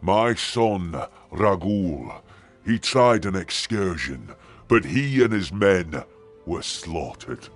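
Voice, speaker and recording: An actor playing a weary, grieving old lord in a fantasy video game, with a gravelly, husky voice and slow, imploring delivery. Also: male voice, sits low, expressive, faint music behind, heavily acted. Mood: grave, despairing. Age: older. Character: weary old male lord grieving his slain son. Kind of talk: dramatic video game acting.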